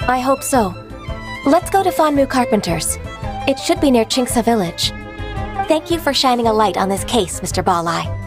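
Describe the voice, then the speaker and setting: A courteous young official with a raised, gentle voice. She speaks in a measured, earnest way, with soft music behind her. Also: fairly high pitch, very animated. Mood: polite. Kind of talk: video game voice acting.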